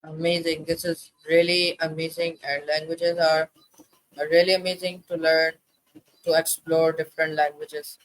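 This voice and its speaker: A man whose first language is Urdu, hosting an interview and asking questions in slow, simple phrasing. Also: fairly high pitch, level tone, fairly bright tone, no room sound, rough recording. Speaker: interview host.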